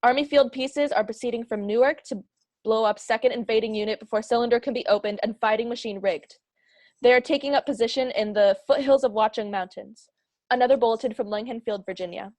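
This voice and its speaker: A woman's voice: fairly high pitch, darkish tone, no echo, close to an everyday voice. A young woman playing a formal radio news announcer, reading war bulletins in a radio play. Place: small plain room indoors.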